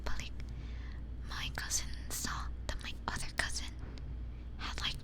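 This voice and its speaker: A woman streamer chatting casually in a breathy ASMR whisper close to a binaural mic, informal and sweary, often repeating her phrases. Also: unhurried. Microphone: close binaural ear microphone.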